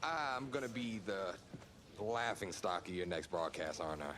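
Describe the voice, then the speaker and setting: A man playing a young, cocky college football quarterback in a video game drama, talking in a casual, slangy, expressive way. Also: low-pitched, normal speed, a crowd in the background, natural voice. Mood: flustered. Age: young adult. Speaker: voice actor. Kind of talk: naturalistic sports video game drama acting.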